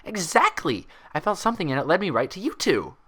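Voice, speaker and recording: A woman's voice: fairly low pitch, big pitch swings, brisk, bright, forceful tone, breathy edge, pitched above the performer's own voice, heavily acted. A woman voicing a bubbly, childlike female cartoon pony who rambles and gushes breathlessly. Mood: breathless excitement.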